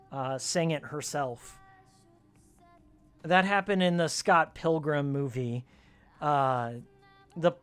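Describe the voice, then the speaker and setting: Game streamer, mid-pitched raspy voice worn from yelling; rambling, slangy, opinionated commentary with jokes. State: voice worn from screaming and ranting. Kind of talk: casual rambling gameplay commentary with fan trivia.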